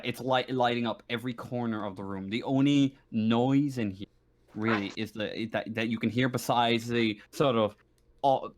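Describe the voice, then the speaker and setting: A man improvising scene narration as a game master, conversational and halting, often correcting himself. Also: medium pitch.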